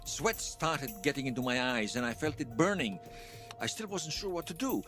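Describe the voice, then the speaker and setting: An actor playing an earnest, fervent veteran loyalist giving testimony in a fiction podcast, conversational and emphatic. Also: male voice, mid-range voice, lively intonation, moderate pace, fairly bright tone, faint music behind, lightly acted. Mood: tense recollection. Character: earnest male veteran loyalist recounting an uprising, fervent believer. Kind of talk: fiction podcast monologue told as interview testimony.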